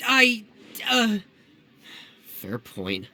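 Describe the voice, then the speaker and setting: A woman's voice: medium pitch, wildly swooping pitch, very slow, bright, forceful tone, full character voice. Hot-headed blunt girl sidekick from a game, voice swoops all over, music under it. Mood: defensive. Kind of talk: video game voice acting.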